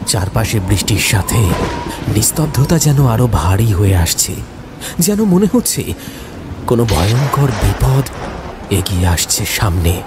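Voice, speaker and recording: A man's voice: lowish voice, very noisy. A man narrating a scripted horror story in a dramatic, suspenseful, theatrical way. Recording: loud music under the voice.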